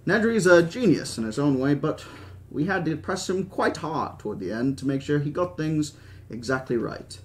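A man's voice: on the high side, lively intonation, moderate pace, lightly acted. A man voicing a stubborn, grandiose old park founder in his seventies, with an attempted British accent and a genteel, speechifying manner. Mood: genial, dismissive. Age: 70s.

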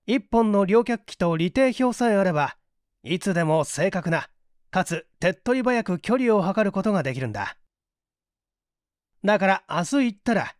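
Man acting a gentleman detective, audiobook mystery, high lively voice, assured, analytical, methodical. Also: no room sound, clean studio sound. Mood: explaining.

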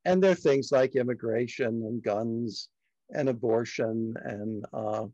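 Older Brooklyn man, gravelly and halting. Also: medium pitch, dark tone, dry recording. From Brooklyn, New York. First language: Yiddish. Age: older, about 70s. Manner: halting, deliberate, frank. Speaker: discussion host. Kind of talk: reflective group discussion. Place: dim home study, indoors. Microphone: computer on video call. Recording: muffled video call audio.

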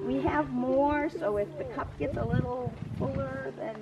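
High-voiced older woman pastor, noisy outdoors. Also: fairly even intonation, unhurried, muffled recording. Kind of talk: outdoor church service, communion liturgy and informal asides. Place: open grassy campground, outdoors. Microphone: camera several metres away. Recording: noisy outdoor camera recording from a distance.

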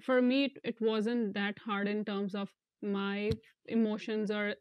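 A young woman from India, an international student, speaking earnestly in an interview with some halting restarts, on a lapel mic. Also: medium pitch, level tone, clear, resonant tone, lo-fi sound. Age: young adult. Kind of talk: casual interview talk.

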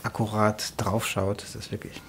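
A man's voice: fairly low pitch, level tone, moderate pace, a bit bright, a little hiss or hum. A man who works as a composer and arranger, speaking in interview style about a music production. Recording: music underneath. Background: background music.

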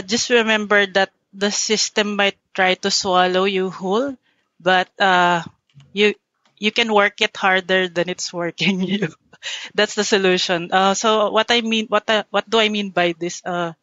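A woman's voice: mid-range voice, a bit bright, no room sound, dull audio. A woman on an online panel giving confident, punchy advice. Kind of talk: panel discussion on video call.

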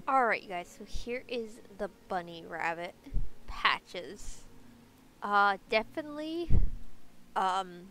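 A woman's voice: mid-range voice, fairly bright tone, slight background noise, low-quality recording. A young woman with a congested, stuffy voice, chatting casually through a game guide with lots of fillers. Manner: chatty, many fillers, upbeat. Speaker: game video creator. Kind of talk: casual gameplay guide narration.

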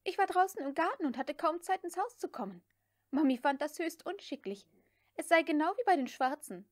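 A woman voicing a headstrong, impatient young Southern woman in an audiobook, speaking in a brisk, commanding way. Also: high-pitched, animated, pitched above the performer's own voice. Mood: falsely reassuring.

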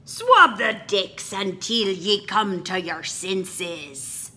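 A woman's voice: medium pitch, expressive, drawn-out words, heavily acted. A woman voicing a bossy male pirate bunny captain who barks orders in a storybook read-aloud. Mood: roaring. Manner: shouting orders.

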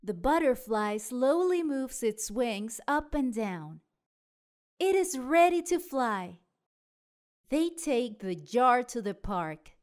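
A woman narrating children's storybooks in an expressive way aimed at young listeners. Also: a little high, takes it slowly. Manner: expressive, child-directed delivery. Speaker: storyteller and show host.

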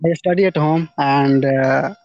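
A man's voice: fairly high pitch, no room sound. Dull-sounding young Indian man, learner English. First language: not English. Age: young adult. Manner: halting learner English, simple phrases. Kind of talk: casual practice conversation. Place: outdoors in a garden. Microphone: phone at arm's length, video call. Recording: dull lo-fi video call audio.

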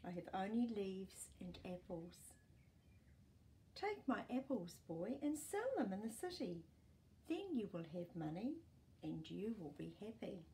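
A woman voicing a kind, giving female apple tree in a storybook, earnest and gently coaxing. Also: medium pitch, very animated, measured pace, slight background noise. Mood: apologetic, generous.

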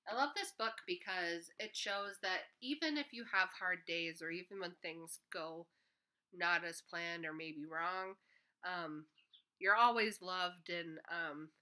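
A woman's voice: fairly low pitch, pushed and bright. A young woman teacher reading a picture book aloud to children, chatting to them in between pages, filmed on a webcam in her living room. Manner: friendly, chatty, with asides to kids. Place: living room at home, on a couch. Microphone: webcam at desk distance.

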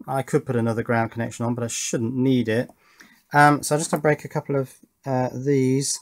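Middle-aged Englishman musing at his workbench. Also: medium pitch. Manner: musing, self-questioning, measured. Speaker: electronics hobbyist presenter. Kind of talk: casual hands-on workbench commentary, thinking aloud. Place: indoor electronics workbench.

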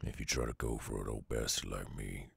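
A man playing a weary, centuries-old werewolf with a gruff Southern drawl, halting and tender in an intimate ASMR roleplay. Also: extremely low pitch, steady tone, no echo, exaggerated voice. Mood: gruff, resigned. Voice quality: very gravelly, husky growl. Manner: halting, brooding drawl with long pauses. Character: gruff weary three-hundred-year-old male werewolf, guarded yet tender.

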